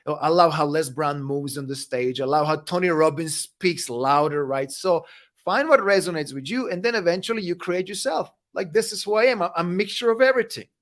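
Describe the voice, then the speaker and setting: Emphatic high-voiced man, Serbian native. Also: fairly quick. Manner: energetic, emphatic, repeats "right?" and "you know what?". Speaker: guest speaker and coach. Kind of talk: interview answers, motivational.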